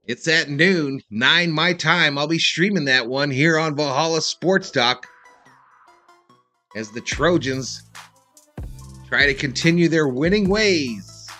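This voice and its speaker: Bright-voiced man streaming fan sports commentary. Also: fairly high pitch. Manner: informal, addresses viewers as y'all, swears. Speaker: live stream host doing fan play-by-play commentary.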